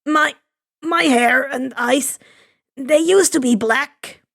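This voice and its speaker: A woman voicing a nervous, guarded young male villain who speaks haltingly in a story reading. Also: sits high, gravelly, fairly bright tone, heavily acted. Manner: halting, unsure.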